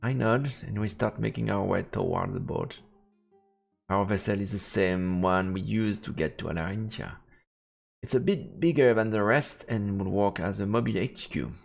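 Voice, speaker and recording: Guy streaming a visual novel, lowish gravelly voice reading it out, soft music under it. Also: lively intonation, normal speed. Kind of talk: narrated read-aloud with casual reactions.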